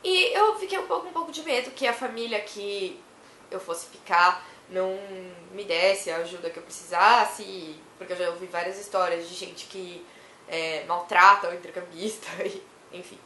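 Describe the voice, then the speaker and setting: Hoarse chatty young woman, bright voice. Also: on the low side, moderate pace, reverberant, a little hiss or hum. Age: young adult. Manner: chatty, rambling, laughs often, self-correcting. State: hoarse with a cough. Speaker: vlogger. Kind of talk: casual chat to camera.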